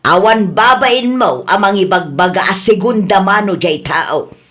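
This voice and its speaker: A woman's voice: medium pitch, animated, unhurried, a bit bright. A woman playing a blunt, nagging middle-aged mother in an Ilocano radio comedy drama. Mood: scolding. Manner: nagging, reproachful.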